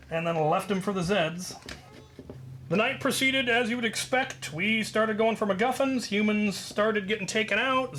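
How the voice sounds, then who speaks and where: A man telling a story in a casual, rambling way as host, leaning deliberately on his key points. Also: high-pitched, fairly bright tone, a little hiss or hum.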